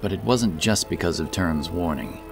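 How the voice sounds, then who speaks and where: A man's voice: low-pitched, animated, moderate pace, creaky, over music. A man narrating a fantasy audiobook as a scripted dramatic story, with intense, theatrical delivery.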